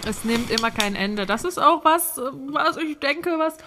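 A woman's voice: sits high, expressive, measured pace, very noisy. A woman hosting a podcast, speaking in playful, colloquial, lightly acted banter. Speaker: podcast host.

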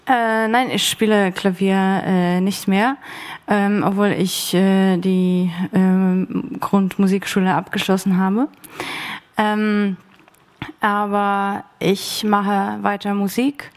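A woman speaking unscripted as an author on a panel, very haltingly, searching for words. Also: medium pitch, little pitch movement. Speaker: radio play author on a panel. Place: stage of an arts academy event.

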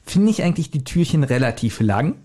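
Slightly high-pitched podcast man. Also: very animated, fairly quick, warm tone. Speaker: podcast co-host. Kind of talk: casual chat.

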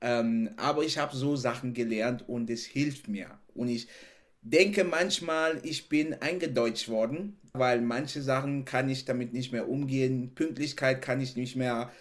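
A man's voice: medium pitch, a little slow. Man, laughing often. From Cameroon. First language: not German. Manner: animated, laughs often, repeats "genau" and "ne". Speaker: podcast guest. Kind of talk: casual interview chat.

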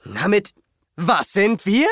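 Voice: medium pitch, very animated, slow pace, fairly bright tone, no room sound, clean studio sound, exaggerated voice. An actor voicing a cheeky, bossy young toy figure in a children's audio play. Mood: bossy, rousing.

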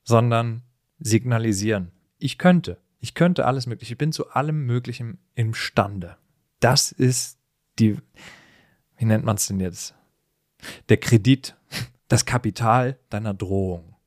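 A man co-hosting a casual, humorous podcast conversation, explaining things in an animated way and often chuckling as he talks. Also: medium pitch, a little slow, no room sound, studio quality. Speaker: podcast co-host.

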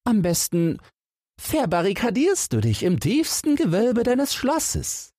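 A man's voice: high voice, wildly swooping pitch, slow pace, darkish tone, dry recording, pitched above the performer's own voice, full character voice. A man voicing a crafty, boastful young fairy-tale hero with a sly, urgent and mock-courteous manner for a storybook. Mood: sly reassurance. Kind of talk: storybook character voice.

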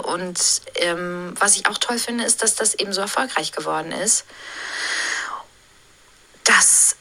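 A woman in her thirties, a voice actress, reflecting thoughtfully on her long-running role in a recorded interview, pausing often to find her words. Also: medium pitch, creaky, bright, forceful tone. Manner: thoughtful, musing, with frequent hesitations.

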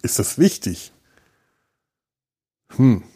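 A man's voice: drawn-out words, dark tone. A man hosting a podcast in a casual, rambling solo monologue, hesitant and thinking aloud, with a wry, self-deprecating manner. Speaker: podcast host. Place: indoors on a sofa.

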